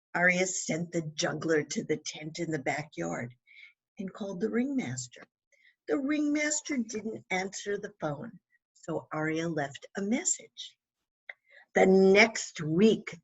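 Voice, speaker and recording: Female children's storyteller, husky tone, very animated intonation, unhurried delivery with dramatic emphasis. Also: on the high side, poor audio. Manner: dramatic emphasis with asides to young listeners. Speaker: children's author reading aloud.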